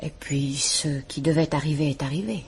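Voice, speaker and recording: A woman's voice: low voice, normal speed, darkish tone, husky, heavily acted. An actress playing a frightened, dignified woman of about thirty in a vintage radio drama, composed, formal and restrained. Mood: restrained, bitter. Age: 30s. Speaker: woman reporting her husband.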